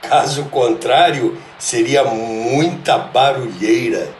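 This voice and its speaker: A man with a Brazilian Portuguese accent narrating scripted stories in a theatrical, deliberate way as a show host. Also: mid-range voice, a little hiss or hum. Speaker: host and storyteller of a radio history show.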